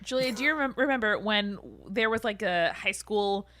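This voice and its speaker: A woman's voice: medium pitch, moderate pace, fairly bright tone, no room sound. A woman co-hosting a podcast with casual, skeptical, slangy banter. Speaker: podcast co-host.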